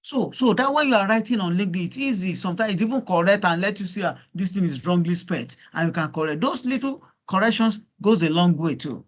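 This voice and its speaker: Male, Nigerian accent, Yoruba native speaker, high-pitched, informal workshop coaching, encouraging, repetitive. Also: lively intonation, quick pace, hardly any pauses. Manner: encouraging, repeats himself, rhetorical questions. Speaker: guest speaker coaching students on LinkedIn profiles.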